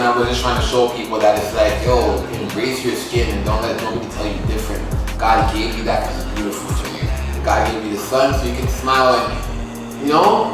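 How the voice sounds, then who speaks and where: A young man from Toronto, a musician and poet, talking earnestly and casually about his life as a podcast guest. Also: mid-range voice. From Toronto, Canada. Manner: earnest, reflective, street-casual phrasing. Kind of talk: casual interview talk. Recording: echoey room, voice far from mic.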